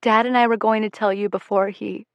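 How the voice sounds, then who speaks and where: A woman voicing a middle-aged mother who is grieving and apologetic, speaking softly and pleadingly. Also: medium pitch, fairly even intonation, a little fast, clean tone, no room sound, lightly acted.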